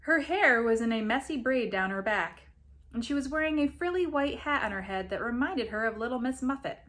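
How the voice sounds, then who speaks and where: A woman reading a story aloud as an expressive narrator. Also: fairly high pitch, a little fast. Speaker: reader of a story.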